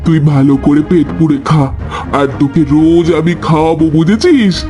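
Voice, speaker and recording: Man voicing a haughty, high-pitched scolding housemaid. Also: normal speed, dark tone, soft background music, lightly acted. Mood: gloating, sly. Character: spiteful, bossy village housemaid woman, scheming and threatening. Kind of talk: cartoon dialogue.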